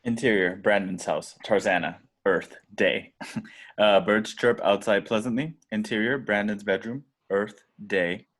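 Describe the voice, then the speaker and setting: Male narrator, lowish pitch, reading script stage directions. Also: a little slow, fairly bright tone. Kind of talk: scripted narration at a table read.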